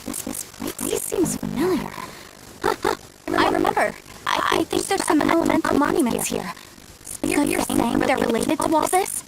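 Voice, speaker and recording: Earnest game researcher lady, super animated, easily exasperated, loud music under it. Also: a little high, a little slow, creaky, pauses often, heavily acted, rough recording. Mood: curious. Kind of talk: video game character voice acting.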